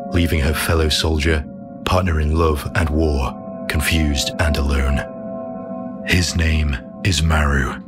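A man playing a solemn narrator who introduces a science-fiction audio drama in a dramatic scripted style. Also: extremely low pitch. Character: solemn omniscient male narrator of a sci-fi saga. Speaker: series narrator. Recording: voice under loud music. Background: loud music.

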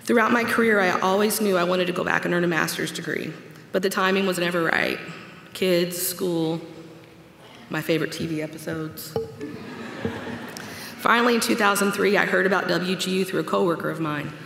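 A woman's voice: lowish voice, fairly even intonation, normal speed, gravelly, pauses often, fairly bright tone, slight background noise. A woman in her forties giving a graduate's commencement speech at a podium, earnest and personal with touches of humor. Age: 40s. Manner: earnest, personal, read from notes with light humor. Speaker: graduate speaker. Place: large auditorium stage at a podium. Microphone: podium microphone. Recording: echoey hall with long reverb.

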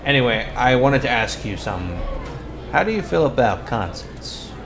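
A man playing a bossy teenage school bully with a hidden soft side, in an ASMR roleplay, brusque and given to muttering. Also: medium pitch, sing-song, normal speed, music in the background. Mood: feigned casual. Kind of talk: ASMR boyfriend roleplay acting. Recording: clear background ambience noise.

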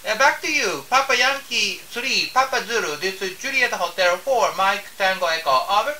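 A man from Japan with a Japanese accent, speaking halting English on an amateur radio contact and repeating his phrases and phonetic callsigns. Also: sits high, expressive. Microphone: ham radio station microphone. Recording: hissy shortwave radio, distant, faint noise.

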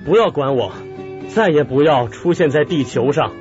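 A man's voice: fairly high pitch, expressive, moderate pace, close to an everyday voice. An actor playing an anguished, defiant man in a Chinese sci-fi radio drama. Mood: anguished, urgent. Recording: muffled audio with music behind.

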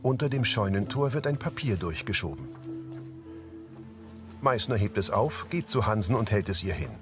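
A low-pitched man narrates the scenes of a drama in scripted present tense. His intonation moves freely, and music plays clearly behind him. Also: expressive, moderate pace. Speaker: audio-description narrator. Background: background music.

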